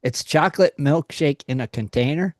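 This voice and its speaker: A man's voice: fairly high pitch, normal speed, no echo, studio quality. A man in his sixties hosting a live online radio chat, joking and laughing often. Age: 60s. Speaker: radio show host.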